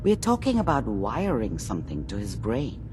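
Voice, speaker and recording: An actress playing a stern, exacting scientist doctor in a video game, brisk, formal and precise. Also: female voice, extremely low pitch, huge pitch swings, noisy background, full character voice. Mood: clinical, cautious. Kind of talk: video game character acting.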